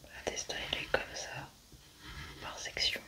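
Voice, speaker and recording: Whispering young woman, ASMR. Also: a little slow. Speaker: ASMR creator playing a scientist.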